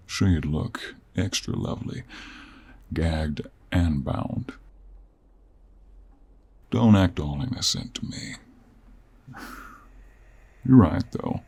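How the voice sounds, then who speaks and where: A deep-voiced cowboy monster hunter played by a man, drawling in an American Western accent with a husky rasp. He takes his time, gruff and teasing, dropping folksy endearments in an intimate audio roleplay. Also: a little mellow, exaggerated voice. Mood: menacing teasing, then smug. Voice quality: gravelly, husky.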